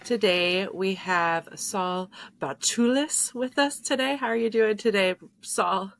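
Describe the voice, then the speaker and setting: A young woman hosting a podcast, asking questions in a friendly, relaxed way with fillers, at a desk microphone. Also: medium pitch, takes it slowly, vocal fry, dry recording. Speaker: podcast host. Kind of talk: casual interview. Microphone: large desk microphone with headphones.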